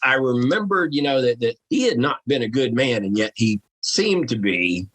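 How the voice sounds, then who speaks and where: A man with a mid-range, slightly rough voice chats as a guest on a show. He speaks frankly and informally, with salty turns of phrase, in loose conversational commentary. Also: mid-range voice, very animated, moderate pace, fairly bright tone, rough recording. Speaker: podcast guest, author.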